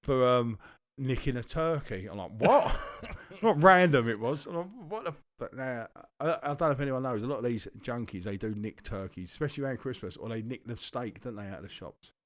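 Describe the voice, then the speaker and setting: A man's voice: mid-range voice, lively intonation, brisk, few pauses, no echo. A man with a London accent, co-hosting a podcast and telling a long rambling anecdote with plenty of swearing. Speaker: podcast co-host. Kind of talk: casual storytelling chat.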